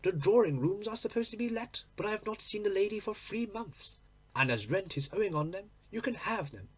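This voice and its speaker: A man's voice: high-pitched, expressive, fairly quick, husky, voice pushed up. A man voicing a respectable, chatty landlady in a storybook reading, deferential and forthcoming. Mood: matter-of-fact.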